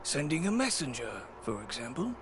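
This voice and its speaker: A courteous, resigned male merchant in game dialogue. His voice sits a little high and moves in lively swoops, wry and measured, over clear background noise. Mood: wry, polite. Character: world-weary, courteous male supplier, reluctant and resigned. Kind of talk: video game voice acting.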